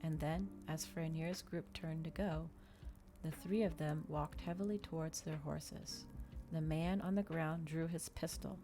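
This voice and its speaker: A woman reading a story aloud in ASMR style, soft-spoken and measured, as a streamer narrating a novel. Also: low voice, fairly even intonation, faint music behind.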